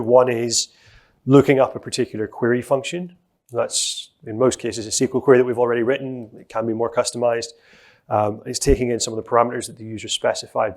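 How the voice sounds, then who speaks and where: A middle-aged man on a conference stage, explaining a live software demo in a steady, explanatory way with frequent fillers. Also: medium pitch. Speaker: presenter giving a software demo.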